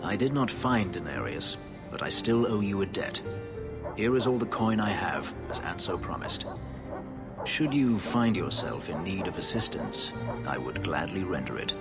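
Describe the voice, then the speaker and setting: Brief: a brooding elven ex-slave warrior, very low and grave, formal and clipped, with game music underneath. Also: male voice, very animated, normal speed. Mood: bitter. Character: brooding male elf warrior, escaped slave. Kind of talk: video game dialogue. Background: game score.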